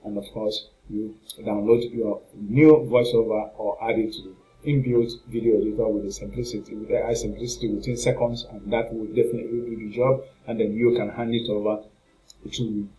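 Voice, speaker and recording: A man giving an informal, halting and repetitive screen walkthrough as a product reviewer. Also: lowish voice, level tone, mellow, rough recording. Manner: halting, repetitive, rambling narration with filler phrases.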